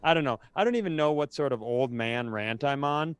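A man's voice: medium pitch, lively intonation, brisk, clear, resonant tone, no echo. A man co-hosting a podcast, ranting casually in an exasperated, sweary, rambling way with frequent restarts. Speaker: podcast co-host.

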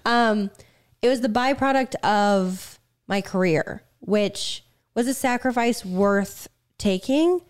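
A woman co-hosting a podcast, talking candidly and reflectively with frequent restarts, her voice slightly raspy. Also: mid-range voice. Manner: reflective, speaks in halting restarts. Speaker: podcast co-host. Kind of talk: candid conversation.

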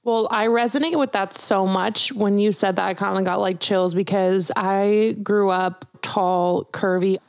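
A woman's voice: medium pitch, fairly even intonation, unhurried, few pauses, darkish tone, dry recording. An enthusiastic young woman co-hosting an interview, effusive and chatty, with a mid-range voice. Her sound is dry and close, with no room around it. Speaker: podcast co-host. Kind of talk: casual interview chat.

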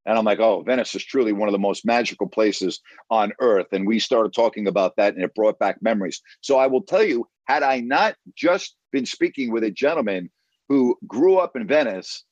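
A man's voice: lowish voice, expressive, moderate pace, no echo, highs cut off. A man chatting casually as a guest, emphatic and repeating himself for stress. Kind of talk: casual chat.

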